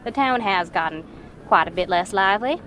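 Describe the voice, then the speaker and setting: A woman's voice: medium pitch, animated, fairly bright tone, natural voice. A woman playing a plain-spoken, folksy Wild West townsperson in an audio drama, with some background noise. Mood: gossipy. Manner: folksy, drawn-out idioms. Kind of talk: western audio drama acting.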